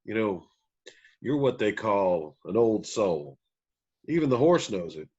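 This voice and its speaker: A man reads the part of a weathered older bandleader in a country-music drama. He is folksy, wistful and guarded, with a lowish voice. The sound is a little dull. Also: very animated, unhurried, a little mellow, breathy edge, full character voice. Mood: wry. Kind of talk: screenplay table read.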